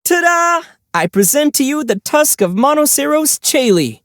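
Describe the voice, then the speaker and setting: A man voicing a young adventurer in a video game, calm, kind and dryly witty, with a gently teasing, unhurried delivery. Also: very high-pitched, no echo, studio quality, exaggerated voice. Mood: playfully showy.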